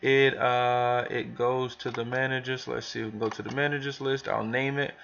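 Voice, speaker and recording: A man giving a casual, rambling software tutorial for new hires, thinking aloud as he talks through each step. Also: medium pitch, little pitch movement, moderate pace, gravelly, dull audio. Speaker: business trainer demonstrating software to new hires. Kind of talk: casual screen tutorial, talking through steps.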